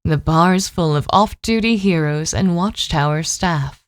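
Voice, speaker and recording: A woman narrates an audio drama. Her voice sits fairly low, and she reads scripted scene descriptions. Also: measured pace, no room sound, clean studio sound.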